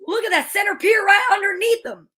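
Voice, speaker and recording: A woman playing an eager, folksy boy sidekick in an old-time radio serial, with an excitable gee-whiz delivery. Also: very high voice, fairly quick, shouting. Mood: excited.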